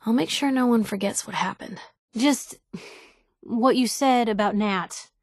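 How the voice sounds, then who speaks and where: An actress playing a feisty, wry young newspaper reporter in a video game, with an American accent and a conversational, sardonic delivery. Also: female voice, fairly high pitch, dry recording, clean studio sound. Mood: wry, friendly, then hesitant, sincere. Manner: sardonic, conversational, quick-witted asides. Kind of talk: video game companion dialogue.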